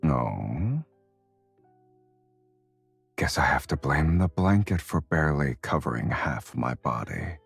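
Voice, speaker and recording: Smug flirty game love interest, super low slow voice, breathy, music behind. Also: male voice, big pitch swings, heavily acted. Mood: teasing. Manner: unhurried, playful teasing. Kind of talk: romance game voice acting.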